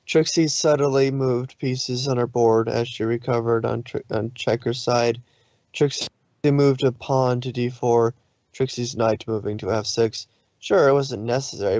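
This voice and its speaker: Guy reading a story out loud, dramatic narration, dry kinda dull sound. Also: medium pitch, no room sound. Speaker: fan fiction reader, narrator.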